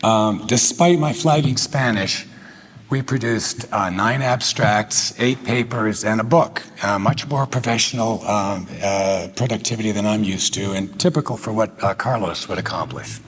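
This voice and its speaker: An older man from the United States giving a humorous, self-deprecating tribute speech, with many filler pauses. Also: fairly low pitch. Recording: long hall reverb, faint noise.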